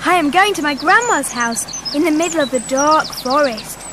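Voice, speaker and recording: A woman's voice: high-pitched, lively intonation, moderate pace, background noise. An actress playing a sweet, naive fairy-tale girl in a comic radio play, prim and storybook-polite. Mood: gushing, sweet.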